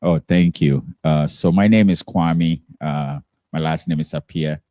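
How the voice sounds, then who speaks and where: A man's voice: rumbling low, fairly even intonation, measured pace, warm tone, dry recording. A man from Ghana, a guest on a radio show in a studio, talking in an easygoing way and chuckling often. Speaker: guest, nonprofit founder. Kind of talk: casual interview chat.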